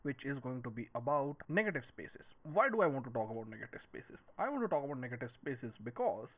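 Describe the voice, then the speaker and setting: A man's voice: mid-range voice, sing-song, fairly quick, fairly bright tone. A man narrating a tutorial as an instructor, explaining step by step and posing questions that he then answers.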